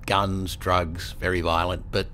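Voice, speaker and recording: An actor playing a measured military commander, terse and questioning, in a video game briefing scene. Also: male voice, sits low, little pitch movement, pushed and bright, a little hiss or hum. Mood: grave, measured.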